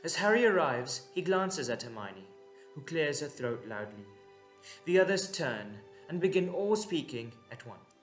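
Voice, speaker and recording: Slow, deliberate male storyteller. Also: a little high, big pitch swings, soft background music. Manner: measured, deliberate pauses. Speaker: narrator. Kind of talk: scripted dramatic narration.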